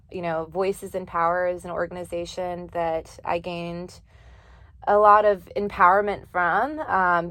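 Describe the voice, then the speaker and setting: A woman's voice: on the low side, no room sound. A young woman, a singer and poet, talking earnestly in a casual interview, with many fillers and easy laughs. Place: office studio.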